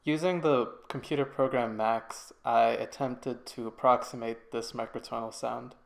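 A man's voice: mid-range voice, steady tone, moderate pace, vocal fry, music in the background. A young man presenting as a student, narrating a project demonstration in an informal, tentative way. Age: young adult. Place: voice-over to slides.

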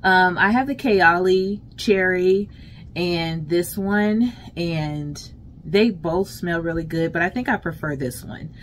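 Low-voiced American woman, casual vlogger. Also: slow. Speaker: lifestyle vlogger. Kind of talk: casual chat to viewers. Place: closet at home. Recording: clear background noise, home vlog.

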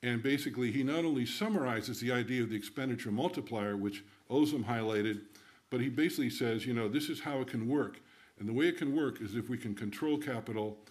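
Older man giving a halting talk. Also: mid-range voice. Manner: loose, halting delivery with fillers. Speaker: conference speaker. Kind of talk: academic presentation. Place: lecture hall.

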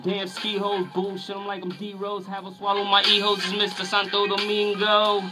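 High-voiced brash male rapper freestyling. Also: monotone, normal speed, loud music behind the voice. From Harlem, New York. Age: young adult. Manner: brash, boastful, streetwise. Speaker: guest rapper. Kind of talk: freestyle rap over a beat. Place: small radio studio. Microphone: close studio boom microphone. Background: hip-hop beat playing.

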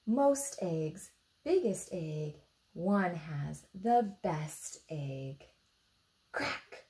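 A woman in her thirties with an American accent, reading a picture book aloud to young children expressively, pausing to ask them simple questions. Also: on the low side, expressive, mellow. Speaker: storytime reader for children. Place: indoor room beside a book display.

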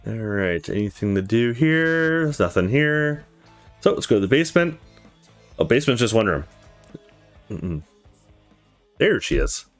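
A man's voice: medium pitch, big pitch swings, a little slow, long pauses, faint music behind. A man giving casual let's play commentary, thinking aloud with some mild swearing. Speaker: gaming video host.

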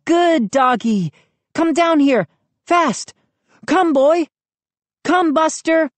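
A woman's voice: fairly high pitch, measured pace, dark tone, dry recording, pitched above the performer's own voice. A woman voicing an earnest, frightened child who pleads urgently in a children's story. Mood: urgent pleading.

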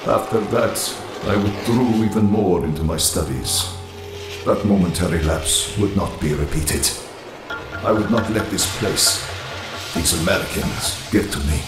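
A man playing a proud, brooding supervillain narrating his youth in a grave, measured, haughty manner in a motion comic. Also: low-pitched, roomy sound, music in the background. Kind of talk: scripted comic-book narration.

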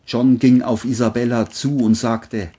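A man's voice: mid-range voice, little pitch movement, measured pace, dark tone, slightly rough. A man narrating a scripted crime story as an audiobook-style reading.

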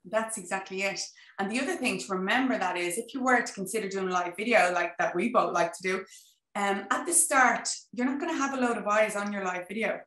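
Bright-voiced Dublin Irish woman. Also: mid-range voice, a little fast. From Ireland. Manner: chatty and animated, gestures, frequent "you know". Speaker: guest, business coach. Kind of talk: casual video-call interview. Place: home office, indoors. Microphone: video call. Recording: echoey room.

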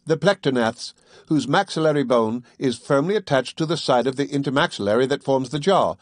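A man voicing a fussy, pedantic male servant who lectures in a precise, drawn-out way. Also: mid-range voice, lively intonation, no echo, studio quality, lightly acted. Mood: lecturing. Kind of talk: audiobook character voice.

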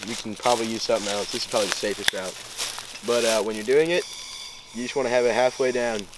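A man's voice: mid-range voice, quick pace. A young man giving a casual how-to explanation as a student, recorded by a camera in the woods. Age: young adult. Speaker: student demonstrating a homemade project. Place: outdoors in woods. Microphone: camera a few metres away. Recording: faint outdoor noise, camera mic.

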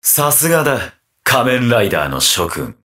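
An actor playing a ruthless, mocking undead armored mercenary villain in a video game, with a taunting, theatrical delivery. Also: male voice, mid-range voice, big pitch swings, moderate pace, pushed and bright. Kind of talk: video game battle voice acting.

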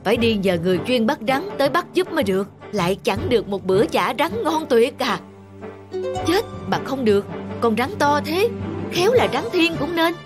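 A woman's voice: high voice, big pitch swings, normal speed, music in the background. A woman voicing a poor, pious, superstitious male farmer in a children's cartoon, with a fretful, reverent manner. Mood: startled, pondering.

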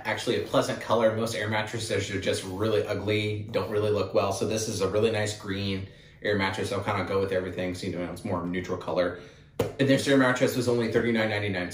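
A young man hosting a casual, upbeat product haul vlog, with plenty of fillers. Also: lowish voice. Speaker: channel host. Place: indoor room with wood-panel wall. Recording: roomy echo, mic at a distance.

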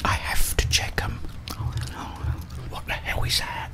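Whispering man, slow and hushed. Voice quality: breathy, unvoiced whisper. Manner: muttering to himself, mild grumbling. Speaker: gamer, ASMR video host. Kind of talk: whispered ASMR gameplay commentary. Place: indoors, small room at home.

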